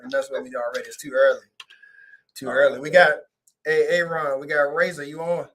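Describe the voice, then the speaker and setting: A man's voice: on the high side, fairly quick. A man hosting a radio talk show in a studio, bantering casually with laughter and easygoing slang. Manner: laughing, teasing, relaxed slang. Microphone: studio microphone.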